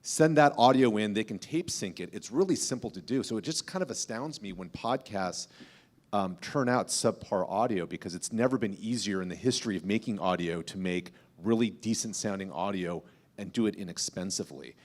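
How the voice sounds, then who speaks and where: A man's voice: lowish voice, expressive, fairly quick. A man speaking as a podcast host on a panel, explaining things in an informal, emphatic way. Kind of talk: panel discussion talk, explanatory.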